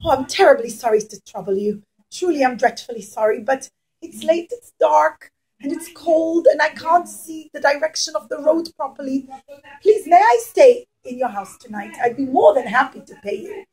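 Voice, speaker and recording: Devout traveling rabbi played by a woman, polite and earnest, high voice, children's puppet tale. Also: expressive, normal speed, darkish tone, rough recording. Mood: apologetic, pleading. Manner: earnest, courteous.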